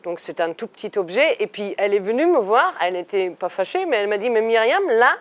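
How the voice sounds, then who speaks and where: A woman's voice: mid-range voice, big pitch swings, a little fast. A woman from Germany, an artist being interviewed, talking thoughtfully and animatedly with frequent restarts. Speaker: artist guest. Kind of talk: interview conversation.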